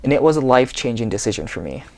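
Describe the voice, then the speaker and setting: A man's voice: mid-range voice, very fast. A young man from the Seattle area, reading a personal story earnestly from a script in a small bedroom. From Seattle, USA. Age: young adult. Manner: earnest, steady reading off a tablet. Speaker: vlogger.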